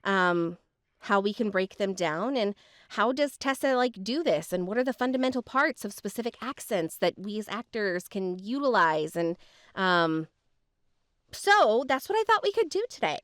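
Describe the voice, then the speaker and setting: A woman's voice: mid-range voice, big pitch swings, normal speed, fairly bright tone, no echo, clean studio sound. A woman hosting a podcast, chatting effusively with lots of fillers. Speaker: podcast host. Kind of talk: casual podcast chat.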